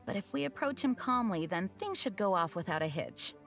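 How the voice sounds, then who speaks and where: A dutiful woman knight leading her order in a fantasy game. She sounds composed, formal and earnest, at a medium pitch. Soft music runs underneath. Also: lively intonation, brisk.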